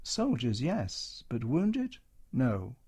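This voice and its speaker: A man's voice: medium pitch, huge pitch swings, very slow, a little mellow, breathy edge, exaggerated voice. A man doing a stern hospital matron. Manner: firm and cutting. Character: brisk, stern wartime hospital matron, woman.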